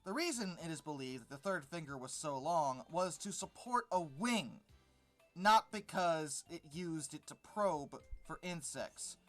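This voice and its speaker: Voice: medium pitch, expressive, unhurried, faint music behind. A video host giving informal, exasperated and sarcastic commentary on an article, stressing words emphatically.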